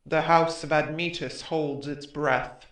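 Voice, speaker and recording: Slow, portentous man as chorus citizen. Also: fairly high pitch, steady tone, fairly bright tone. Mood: uneasy. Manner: solemn, portentous. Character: uneasy solemn citizen in a Greek tragedy chorus. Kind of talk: verse stage drama. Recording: echoey room.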